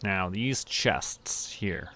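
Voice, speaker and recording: A young man streaming a farming game, relaxed, muttering to himself and counting aloud. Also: sits low, extremely slow, a little hiss or hum. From Canada. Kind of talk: relaxed gameplay commentary.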